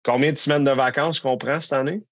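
A man's voice: medium pitch, steady tone, fairly quick, no room sound. Québécois podcast co-host in his thirties, blunt and emphatic, dry muffled mic. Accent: Québécois French. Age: 30s. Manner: candid, emphatic, slangy. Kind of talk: casual business chat.

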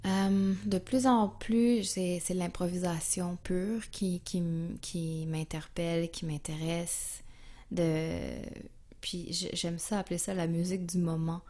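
A woman with a Quebec French accent speaking as an interview guest, calm and reflective, searching for her words. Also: fairly low pitch, slow. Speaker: interview guest, yoga and music teacher. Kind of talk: reflective, casual interview talk.

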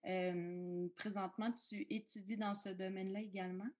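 A woman's voice: mid-range voice, level tone, moderate pace, a little mellow. A woman with a Quebec French accent, hosting a podcast in a conversational, casual style. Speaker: podcast host. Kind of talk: podcast introduction and casual interview.

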